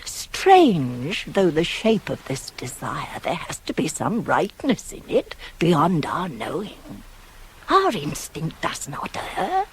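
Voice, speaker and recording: An older nurse in a radio tragedy, shrewd and persuasive. She speaks slowly and emphatically, her pitch rising and plunging as she insinuates. A faint hiss from an old cassette sits behind her. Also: wildly swooping pitch, heavily acted, poor audio. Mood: coaxing. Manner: rhetorical, emphatic, insinuating, dropping to hushed tones. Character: older female nurse, shrewd, pragmatic, persuasive confidante of a queen. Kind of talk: 1980s radio play acting of Greek tragedy.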